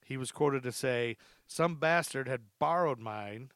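A man's voice: medium pitch, unhurried, a bit bright, no room sound, clean studio sound. A man hosting a podcast, telling stories casually and comically, chatty and amused, repeating facts for emphasis. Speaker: podcast host.

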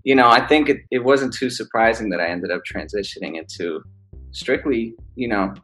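A young man with a somewhat low voice talks about his music in a relaxed, easygoing way, pausing often to find his words. Faint music sits underneath. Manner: laid-back, hesitant with fillers. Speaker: singer guest. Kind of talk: casual interview.

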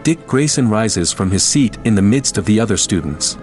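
A man narrating a scripted audio drama in a measured, descriptive, steady way. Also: on the low side, soft background music, close to an everyday voice.

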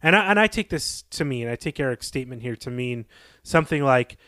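A man's voice: mid-range voice, animated, brisk, bright, forceful tone, dry recording, clean studio sound. A man co-hosting a podcast, retelling a story and commenting on it as he goes. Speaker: podcast co-host.

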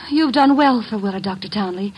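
An actress in her twenties playing a hot-tempered young woman in 1940s radio drama style, cold and clipped with a husky voice. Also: medium pitch, brisk, highs cut off, lightly acted. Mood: menacing. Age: 20s.

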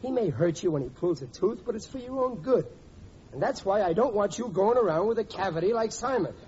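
An actor playing a blustery, scheming but soft-hearted working-class father in an old radio comedy, wheedling and ungrammatical. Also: sits high, expressive, a little fast. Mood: blustery, indignant. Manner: blustery, wheedling, uneducated grammar. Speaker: sitcom lead actor. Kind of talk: 1940s radio comedy acting. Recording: muffled, noisy old radio recording.

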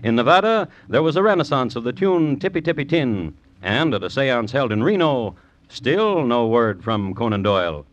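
A man hosting and narrating a 1950s radio crime show in a wry, deliberate, literary scripted style. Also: mid-range voice, big pitch swings, dull audio. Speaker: host and narrator. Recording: old radio recording with music.

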